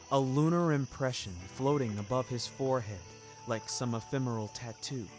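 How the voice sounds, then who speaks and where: A man narrating a scripted first-person fiction podcast in a measured, literary, deliberate way. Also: medium pitch.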